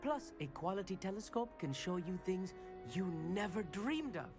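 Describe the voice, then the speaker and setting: A man's voice: sits high, sing-song, moderate pace, music in the background. A man playing an eager, bookish teenage boy at a wizard school, earnest and polite in a game. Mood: eager, friendly. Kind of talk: scripted game dialogue.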